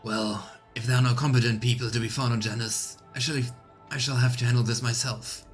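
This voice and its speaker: A man's voice: medium pitch, steady tone, a little fast, soft background music, natural voice. A man playing a commanding aristocratic starship captain in a video game, with a stern, lordly and formal manner. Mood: haughty. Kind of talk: read-aloud game dialogue.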